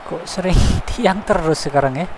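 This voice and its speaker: Gravelly chatty livestreamer man. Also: a little high, brisk, crowd noise. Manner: chatty, mixes in English, calls viewers boss. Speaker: gaming livestreamer. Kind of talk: casual livestream chat.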